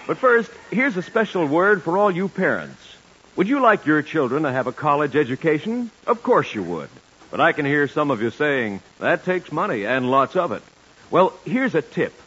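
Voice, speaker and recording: A man's voice: on the high side, sing-song, moderate pace, a bit bright, slightly rough. An American man announcing in scripted 1940s radio style, with a polished, salesmanlike delivery. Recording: muffled 1940s radio broadcast with music.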